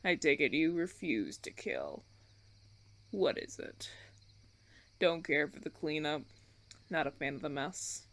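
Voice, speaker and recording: A man playing a suave, condescending vampire colony leader in a roleplay audio drama, theatrical and teasing, with drawn-out phrasing. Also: fairly high pitch, gravelly, a bit bright, full character voice.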